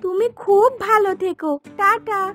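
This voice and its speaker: A woman voicing a sweet, eager, squeaky little village child in a children's cartoon. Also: steady tone, normal speed, a bit bright, soft background music.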